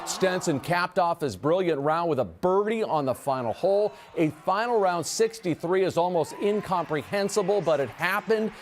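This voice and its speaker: A man with an American accent reading a scripted sportscast over highlights in a punchy, playful delivery. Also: slight background noise. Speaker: TV sports anchor.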